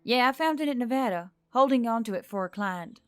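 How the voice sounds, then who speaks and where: A woman voicing a tense, gruff, haunted woman diver in a narrated story, speaking tersely. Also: on the high side, animated, a little fast, dry recording, studio quality, close to an everyday voice. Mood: distracted. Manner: terse, hissing and growling under stress.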